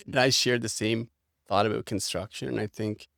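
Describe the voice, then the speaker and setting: A man's voice: fairly low pitch, fairly even intonation, moderate pace, creaky, a bit bright, dry recording, clean studio sound. A man hosting a podcast, upbeat and conversational as he asks his guest questions. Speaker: podcast host. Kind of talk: podcast interview.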